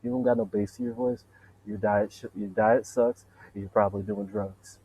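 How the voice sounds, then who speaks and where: A man with a deep voice in a rambling, profane, boastful solo monologue, wandering from point to point. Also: steady tone, normal speed, dark tone, breathy edge, rough recording. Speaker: vlogger.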